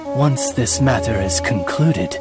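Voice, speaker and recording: A man's voice: mid-range voice, lively intonation, takes it slowly. A man voicing a formal, loyal raven servant in a video game, with courtly, ornate diction. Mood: solemn, dignified. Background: game music.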